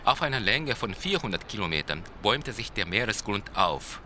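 An actor playing a Japanese seismologist, explaining in a precise, didactic, formal way in a radio-play interview. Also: male voice, lowish voice, big pitch swings, moderate pace, sharp tone, a little hiss or hum, exaggerated voice. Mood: precise, solemn. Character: male Japanese seismologist, precise, solemn expert being interviewed.

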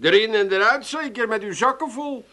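A cheeky, mocking customer. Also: male voice, high-pitched, brisk, bright, forceful tone. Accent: Amsterdam. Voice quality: teasing, fussy. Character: snide mocking male customer, fussy and cheeky. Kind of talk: radio play acting.

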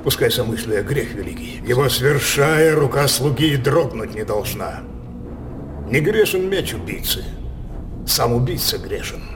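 A male actor plays a depraved, imperious king in a verse radio play. He declaims very slowly, teasing and pausing, at an ordinary male pitch. Music plays clearly behind him. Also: animated, close to an everyday voice. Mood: commanding, tempting. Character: scheming, depraved king with a sinful obsession. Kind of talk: theatrical Russian radio drama in verse. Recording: studio radio play over loud music.

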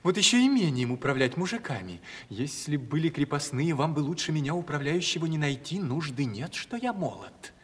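An eager, fawning, lively young man. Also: a little high, brisk, few pauses, husky. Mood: timid, ingratiating. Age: young adult. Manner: servile, ingratiating, naive. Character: obsequious, eager, simple young man courting his patroness. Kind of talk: theatrical 1970s Russian radio play acting. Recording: reverberant, noisy archival radio drama recording.

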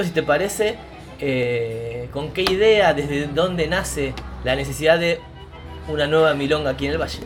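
A man hosting a local radio show, speaking in a friendly, halting way with fillers as he interviews a guest. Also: on the high side. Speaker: radio host.

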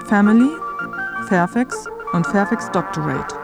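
A woman's voice: medium pitch, expressive, a little slow, loud music behind the voice. A woman reading scripted documentary narration as the narrator of a radio feature.

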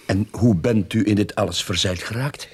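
An actor playing a wry man narrating his own crime story in a radio drama, with a husky voice. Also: fairly low pitch, moderate pace, no echo. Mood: indignant. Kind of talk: radio drama acting with first-person narration.

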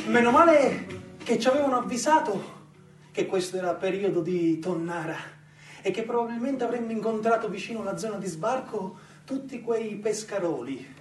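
A man acting a high-pitched, fawning military aide. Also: lively intonation, moderate pace, soft background music. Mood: relieved, smug. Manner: deferential, eager, reporting. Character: obsequious male aide to a 19th-century general. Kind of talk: live stage theatre acting. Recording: echoey stage, distant microphone.

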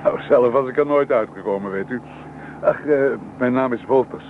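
A man's voice: medium pitch, normal speed, phone-quality sound, lightly acted. Genial middle-aged clergyman, played by a male actor, courteous and musing, thin old radio sound with car noise behind. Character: genial, courteous, enthusiastic older preacher travelling by car. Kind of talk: radio play acting.

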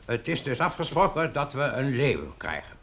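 Lively, bright-voiced village mayor. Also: male voice, mid-range voice, moderate pace, gravelly. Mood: conspiratorial. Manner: formal, speechifying. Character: fussy, excitable village mayor chairing a meeting. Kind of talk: radio play acting.

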